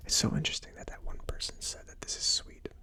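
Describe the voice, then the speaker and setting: An ASMR host giving a hesitant, thinking-aloud tasting commentary in a breathy, unvoiced whisper. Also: fast talker, bright, forceful tone. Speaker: whiskey reviewer, ASMR host.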